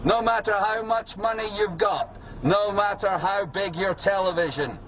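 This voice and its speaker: A man's voice: sits high, moderate pace, crowd noise. A man giving a declamatory, repetitive political street speech, haranguing through a megaphone outdoors. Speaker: political campaigner. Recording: distant megaphone, loud street and vehicle noise.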